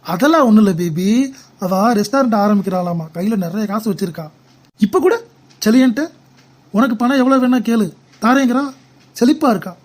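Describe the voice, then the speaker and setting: Cartoon parody, woman playing envious middle-aged husband, soft dark tone, evasive, grumbling. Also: on the high side, lively intonation, brisk, long pauses. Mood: wheedling, envious.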